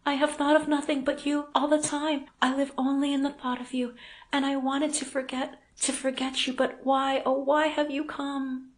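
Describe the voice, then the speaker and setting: A frightened, lovestruck woman played by a female audiobook reader, pitched high and full of anguish. Her delivery is breathless and pleading, with strong emphasis, in the manner of a dramatic literary story. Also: steady tone, measured pace, breathy edge. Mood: miserable longing. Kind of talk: dramatic audiobook character reading.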